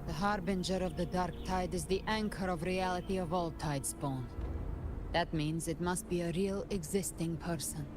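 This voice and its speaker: An actress voicing a brooding, resolute young heroine in a video game, grave and measured, with dramatic intensity. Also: female voice, on the low side, fairly even intonation, natural voice. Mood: somber, resolute. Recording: loud music behind the voice. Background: loud game music.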